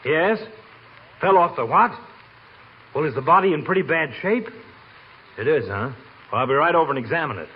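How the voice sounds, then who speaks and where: A man's voice: fairly high pitch, expressive, normal speed, long pauses, close to an everyday voice. A man playing a jovial, blustery family doctor in a 1940s radio comedy. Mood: brisk, concerned. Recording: noisy, muffled old radio broadcast.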